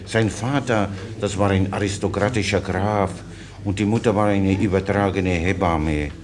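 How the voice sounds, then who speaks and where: An actor playing a cheerful, long-winded common soldier in a radio play, obsequious and blandly innocent. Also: male voice, low-pitched, steady tone, moderate pace, crowd noise, lightly acted. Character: rambling, cheerful Czech common soldier, slyly naive.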